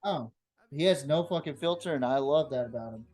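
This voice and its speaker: A man hosting a casual, unscripted sports podcast, swearing freely and speaking bluntly in slangy, halting phrases that often repeat. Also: a little high, expressive, a little mellow. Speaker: podcast host.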